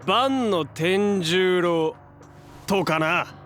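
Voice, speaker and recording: An actor playing a young, loyal, quick-witted hero in a Japanese audio drama, with casual, punchy, confident delivery. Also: male voice, high-pitched, fairly bright tone, faint music behind, exaggerated voice. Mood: speculating.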